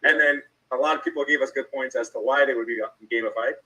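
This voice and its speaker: A man's voice: medium pitch, steady tone, quick pace, pushed and bright, a little hiss or hum. A man with a Canadian accent chatting casually as a guest YouTuber. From Toronto area, Canada. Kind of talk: casual interview chat. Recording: distant from the microphone.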